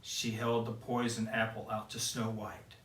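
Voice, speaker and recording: A man reading a children's picture book aloud in a gentle storytelling way. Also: on the low side, monotone. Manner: slow, gentle read-aloud. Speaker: storyteller for kids. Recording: roomy, mic at a distance.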